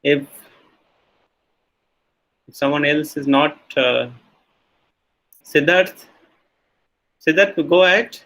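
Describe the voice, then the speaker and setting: Indian English lecturer, coaxing and halting. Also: male voice, on the high side, a bit bright, low-quality recording. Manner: many fillers, coaxing students to answer. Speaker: university lecturer. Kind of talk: online class discussion.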